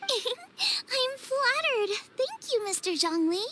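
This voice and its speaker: Very high, bubbly teenage chef. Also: female voice, very animated, takes it slowly, bright, forceful tone, a little hiss or hum, exaggerated voice. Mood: delighted. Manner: bubbly, girlish. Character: cheerful, eager teenage girl chef. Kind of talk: anime video game voice acting.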